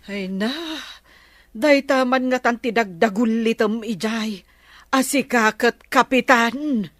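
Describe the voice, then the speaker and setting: An actress with an Ilocano accent playing the exasperated, nagging wife of a village captain in a comedy radio drama. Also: female voice, on the high side, slow, fairly bright tone, dry recording, studio quality. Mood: mocking. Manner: nagging, scolding.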